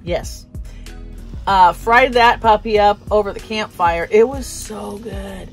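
An American woman in her thirties hosts a casual vlog, recapping things in a conversational way. Her voice sits at an ordinary pitch for a woman. Faint music plays behind her as she talks in a small camper. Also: slow pace. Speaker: vlog host. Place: inside a small pop-up camper.

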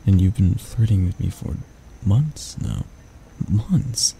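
A man playing a grumpy but soft-hearted barista, flustered and flirty, stammering and teasing in a romantic ASMR roleplay. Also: low voice, expressive, slow, creaky, slight background noise, exaggerated voice. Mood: stunned, rambling.

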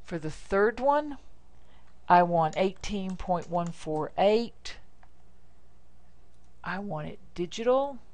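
An American woman demonstrating software step by step, speaking informally in short, deliberate instructional phrases. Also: medium pitch, lively intonation. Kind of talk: step-by-step screencast tutorial narration.

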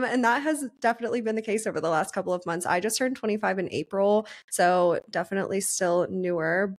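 A woman in her mid-twenties co-hosting a podcast, chatting casually in an upbeat, quick way. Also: medium pitch. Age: 20s. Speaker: podcast co-host. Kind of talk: casual chat. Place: Pittsburgh.

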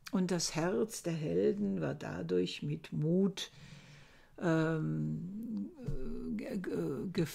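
An older woman giving a recorded lecture from her book-lined study, explaining old verses slowly and thoughtfully, with many hesitations. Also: on the low side, vocal fry, dark tone, breathy edge. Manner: slow, thoughtful, with frequent hesitations. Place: home study lined with bookshelves. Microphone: webcam at desk.

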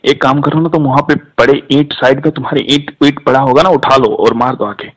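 A man's voice: mid-range voice, steady tone, fairly quick. A man chatting casually on a recorded phone call, joking and teasing.

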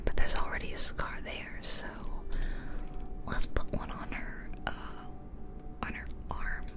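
Casting: female ASMR game host, fully whispered and breathy, rambling and informal, ear-to-ear close miking. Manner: casual, rambling, musing aloud. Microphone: close ear-to-ear stereo microphone.

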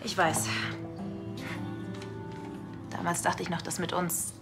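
A woman's voice: on the low side, flat delivery, takes it slowly, music in the background. An actress playing a lovelorn, indignant woman in a naturalistic TV crime drama. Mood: insistent, wistful. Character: indignant, lovelorn woman recalling an old flame.

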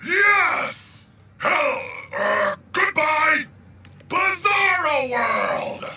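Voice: sits high, sing-song, extremely slow, sharp tone, shouting, exaggerated voice. An actor playing a childlike, monstrous superhuman clone who talks in broken, backwards grammar in a video game. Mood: eager, loud. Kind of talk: video game character acting.

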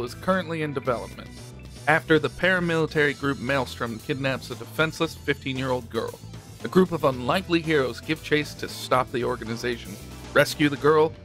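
A man's voice: fairly high pitch, a bit bright. A man presenting for a studio in scripted announcement narration. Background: music.

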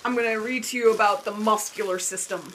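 A female speaker chatting casually and playfully, giggly and teasing, sometimes squeaky from helium. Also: steady tone, quick pace, fairly bright tone. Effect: helium-squeaky voice at times. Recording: very noisy home recording with clicks.

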